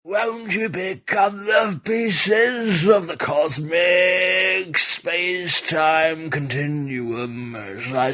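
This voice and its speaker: Bright, slow-paced theatrical man. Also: a little high. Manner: hammy, exclamatory. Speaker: voice actor recording sample lines. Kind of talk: performed voice samples, theatrical catchphrases.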